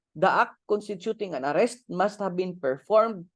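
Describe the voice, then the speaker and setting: A Filipino woman with a Cebuano lilt lectures her class, her voice roughened by a cold. She reads definitions out and tags phrases with "no?". The sound is dry and close, with no room echo. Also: fairly low pitch, lively intonation, a little slow. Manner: reads definitions aloud, ends phrases with tag "no?". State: hoarse with a cold. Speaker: teacher. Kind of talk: recorded online lecture.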